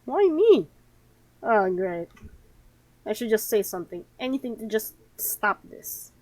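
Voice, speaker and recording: A woman with a medium-pitched voice commentates a game playthrough. She reads the text aloud and reacts expressively, often with sarcasm. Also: sing-song, unhurried, darkish tone, slight background noise. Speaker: game streamer.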